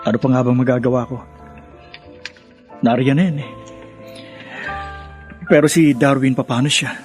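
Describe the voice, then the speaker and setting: An actor playing a hurt, reproachful adult son in a radio family drama. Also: male voice, mid-range voice, fairly even intonation, unhurried, faint music behind, natural voice.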